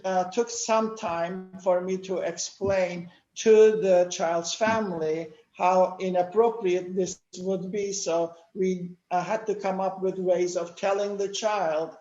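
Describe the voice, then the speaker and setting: An older man from Turkey, speaking natively, giving a measured lecture-style talk with many pauses. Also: high-pitched, darkish tone, roomy sound, lo-fi sound. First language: Turkish. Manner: measured, explanatory, with frequent "uh" pauses. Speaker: panel speaker. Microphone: video call.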